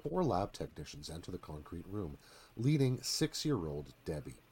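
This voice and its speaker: A man narrates a screenplay for radio theatre. His voice is on the low side, with animated rises and falls and a dramatic delivery. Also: moderate pace.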